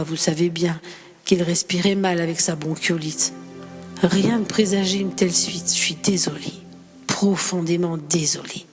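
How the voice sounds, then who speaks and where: A woman voicing a gentle female doctor comforting a grieving family. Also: fairly low pitch, natural voice. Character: female doctor, calm and sorry.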